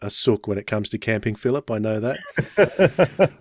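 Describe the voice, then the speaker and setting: Lowish, hesitant Australian man chatting. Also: animated, a little slow, no room sound, studio quality. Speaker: podcast host. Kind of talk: casual chat.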